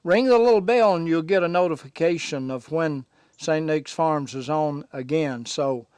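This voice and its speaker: A hoarse middle-aged man talks to his viewers from a sawmill shed on his farm. His voice sits a little high and comes through smooth and clear despite the hoarseness. The sound is close and dry, and his talk is slow, with many pauses and fillers. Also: a little mellow, no room sound. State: hoarse, recovering from laryngitis. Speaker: farm vlog host. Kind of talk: casual vlog talk to camera.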